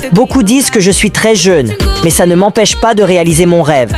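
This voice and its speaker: A man's voice: sits high, animated, fairly quick. A man playing a cocky young influencer. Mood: confident. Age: 20s. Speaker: reality show contestant. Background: music.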